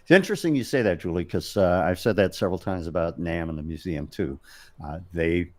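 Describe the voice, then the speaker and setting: A man interviewing band members in a relaxed, easygoing way. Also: low voice, brisk. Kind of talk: casual interview questions.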